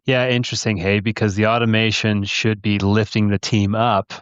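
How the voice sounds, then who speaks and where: A male podcast co-host with a clean, resonant voice that sits a bit low, captured without room echo. He chats casually, using mild folksy exclamations and rhetorical questions. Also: flat delivery, normal speed, fairly bright tone, clean tone, dull audio. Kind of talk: casual discussion.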